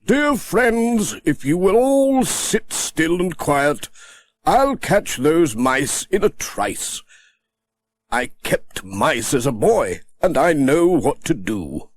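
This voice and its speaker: A man voicing a kindly, excitable country vicar in a children's storybook, with a hearty, preacherly delivery. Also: a little high, takes it slowly, pitched above the performer's own voice, heavily acted. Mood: grandly reassuring.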